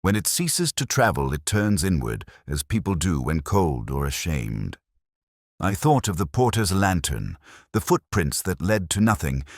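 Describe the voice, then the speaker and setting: Brooding older detective , played by a man: very deep voice, wide melodic range, reflective first-person drama narration, close dry sound. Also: no echo, full character voice. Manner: measured, literary, reflective.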